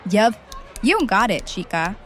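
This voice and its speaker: A woman's voice: medium pitch, sing-song, unhurried, a bit bright, slight background noise. A woman playing a cool, casual young goth in a comic audio drama. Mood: offhand.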